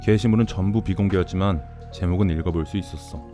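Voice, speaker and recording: A man narrating a horror story in a dramatized first-person style, speaking deliberately and addressing the listener directly. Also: deep voice, mellow, faint music behind.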